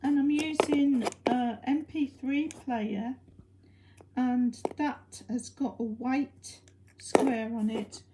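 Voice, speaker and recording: A woman presenting a hobby project in an informal, unscripted demonstration, explaining things in a hesitant, halting way. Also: sits high, fairly even intonation, very noisy.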